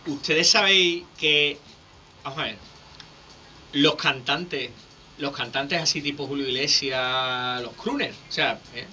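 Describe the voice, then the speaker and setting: A man, a public speaking coach explaining things in a lively, teacherly way as a guest on a radio interview. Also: mid-range voice, slow, slight background noise.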